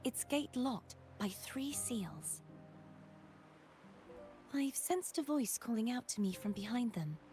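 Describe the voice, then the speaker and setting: A woman voicing a composed, enigmatic young woman in a video game, speaking formally and in measured phrases. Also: fairly high pitch, animated, a little mellow. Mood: solemn. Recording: music playing over the voice.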